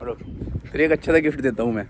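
A man's voice: medium pitch, rapid-fire. A man in his twenties from India chatting casually as a car vlogger, recorded around a car on the road. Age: 20s. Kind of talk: casual vlog chat. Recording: clearly noisy car and roadside sound. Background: car and road noise.

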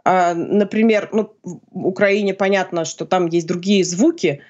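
A woman's voice: on the low side, fairly even intonation, measured pace, a little mellow, lo-fi sound. A woman in her forties, a philologist and journalist, explaining things thoughtfully at home with frequent hesitation sounds. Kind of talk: conversational explanation on a video call. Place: home room with bookshelves.

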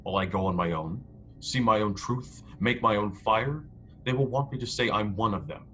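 A man's voice: on the low side, moderate pace, fairly bright tone, lightly acted. A man playing a proud, stubborn, defiant starship crewman in a radio play, speaking in a declamatory way. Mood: defiant, bitter. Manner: declamatory, speaks of himself in third person. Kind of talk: radio play acting over video call. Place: indoor room at home. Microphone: headset on a video call. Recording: background noise on video call.

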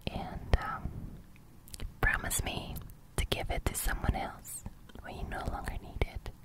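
A young adult woman whispering soothingly for an ASMR roleplay close to the microphone, with a breathy crackle that edges into soft speech. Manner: soothing, slow, reassuring. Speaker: ASMR host. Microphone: large studio microphone, very close.

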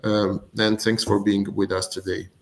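A man in his thirties hosting a live online event from an office, speaking haltingly as he introduces guests. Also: on the low side, little pitch movement, gravelly, slight background noise. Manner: halting, searching for words. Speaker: host. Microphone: video call.

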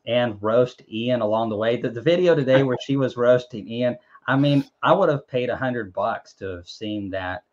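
A young man hosting a casual live chat over a video call from a small room at home, rambling and joking with lots of fillers and restarts. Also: lowish voice. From Tennessee, USA. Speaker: host. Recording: dull lo-fi stream audio.

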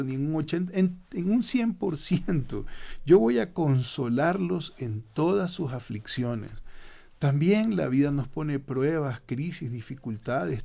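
Gravelly older priest. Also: male voice, on the high side. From Ecuador. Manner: warm preaching tone, rhetorical asides to listeners. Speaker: Jesuit priest guest. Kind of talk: radio interview, explanatory. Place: small radio studio. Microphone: studio microphone with headphones.

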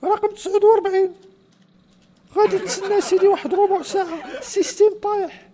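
A man's voice: very high voice, fairly even intonation, fast talker, a crowd in the background. A man voicing a curt, bossy young female office clerk in a comedy cartoon, with a northern Moroccan accent. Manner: curt, dismissive.

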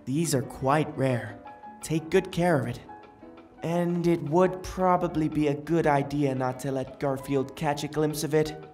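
A woman playing a brisk, knowledgeable guide in a fantasy comic dub, explaining things in a matter-of-fact way. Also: low-pitched, a little mellow, faint music behind.

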